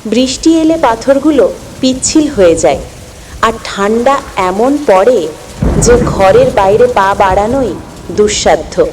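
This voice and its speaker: A woman storyteller speaks very slowly in a fairly high voice. She narrates a scripted tale with measured, expressive phrasing, over faint background noise. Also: rough recording.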